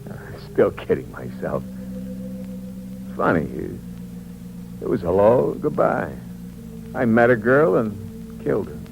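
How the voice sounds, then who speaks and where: A man's voice: deep voice, creaky, full character voice. An actor playing a cold, hard-boiled con man who narrates in the first person in a 1950s radio drama, with tough, clipped slang and a menacing edge. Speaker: narrator and lead character. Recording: dull 1950s radio broadcast with hum.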